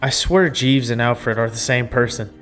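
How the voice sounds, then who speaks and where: A man's voice: medium pitch, moderate pace, fairly bright tone. A young man reading a visual novel aloud and reacting to it with informal, slangy commentary. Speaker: gaming video host. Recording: music playing behind.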